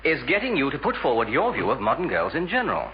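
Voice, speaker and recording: Brisk, polished radio announcer. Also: male voice, mid-range voice, wildly swooping pitch. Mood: goading. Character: suave, persistent male radio interviewer and announcer. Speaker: announcer and interviewer. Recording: dull old radio broadcast, loud music.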